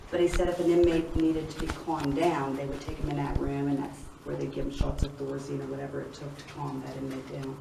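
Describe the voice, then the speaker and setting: A middle-aged woman with an Appalachian accent, leading a prison tour and telling stories loudly to her group. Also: deep voice, gravelly. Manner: projecting loudly to a group, storytelling. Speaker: tour guide. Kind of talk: guided tour talk to a group. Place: large empty rooms of an old prison at night. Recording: echoey rooms, distant and noisy.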